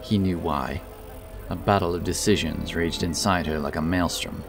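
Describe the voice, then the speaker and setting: A man's voice: low-pitched, steady tone, normal speed, music in the background. A man narrating a fantasy audiobook as a scripted dramatic story, with intense, theatrical delivery.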